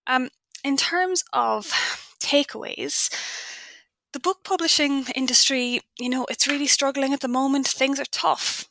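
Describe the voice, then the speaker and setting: Irish woman author, young adult, bright tone, hesitant and reflective, dry close recording. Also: sits high, unhurried, creaky, no echo, rough recording. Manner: thoughtful, hesitant with frequent ums. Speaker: author guest. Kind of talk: podcast interview.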